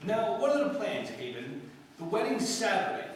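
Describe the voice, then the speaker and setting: A man's voice: high voice, big pitch swings, normal speed. A man playing a pompous, bossy magazine publisher in an old-time radio drama. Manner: self-important, commanding, blustery. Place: live stage performance. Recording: echoey hall, distant mic, noisy.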